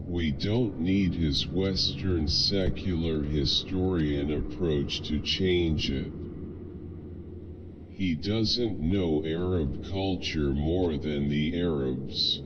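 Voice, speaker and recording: A synthetic male voice reading out online comments in a flat, halting delivery that runs sentences together. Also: low voice. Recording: dull lo-fi audio with steady hiss.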